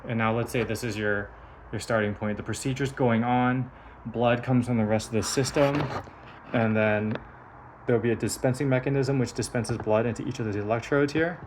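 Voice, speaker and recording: Man, mid-pitched voice, methodical product walkthrough, explanatory, faint noise behind him. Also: fairly even intonation, normal speed. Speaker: engineer presenting a prototype device. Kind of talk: hands-on technical demonstration.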